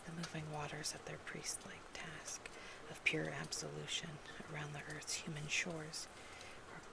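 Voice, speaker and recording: A woman performing whispered ASMR roleplay as a poetry reader, breathy and gentle, in a deliberate manner. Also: sits low, monotone, background noise. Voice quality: breathy whisper with creaky edges.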